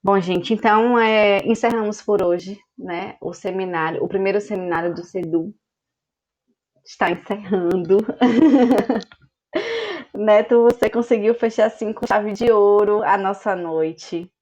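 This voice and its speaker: A woman's voice: medium pitch, measured pace, a little mellow. A woman hosting an online seminar, effusive and thankful, with plenty of fillers. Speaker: seminar host. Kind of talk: closing remarks in an online seminar. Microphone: video call. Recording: lo-fi video call audio.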